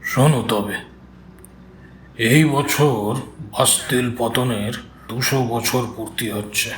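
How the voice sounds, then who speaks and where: A man acts out a genial newspaper boss in an audio drama. He speaks slowly and deliberately with indulgent authority, over faint background ambience. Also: medium pitch, steady tone, slight background noise, close to an everyday voice. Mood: measured, businesslike.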